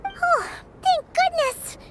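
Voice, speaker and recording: A woman voicing a tiny, cheerful, excitable fairy companion girl in a video game. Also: extremely high pitch, takes it slowly, very noisy. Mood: excited. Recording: loud music behind the voice.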